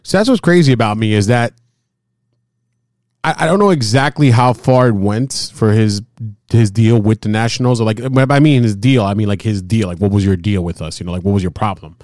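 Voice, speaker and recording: A man in his forties hosting a casual baseball chat, excitable and often repeating himself. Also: fairly low pitch, fairly quick, no room sound, clean studio sound. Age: 40s. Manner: excitable, repeats himself, stumbles over words. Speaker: host. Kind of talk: casual sports talk.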